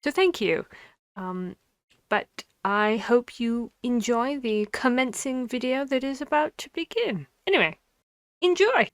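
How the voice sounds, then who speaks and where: A woman's voice: on the high side, expressive, a little slow, no room sound, clean studio sound. A woman ASMR performer giving a casual spoken introduction to her listeners.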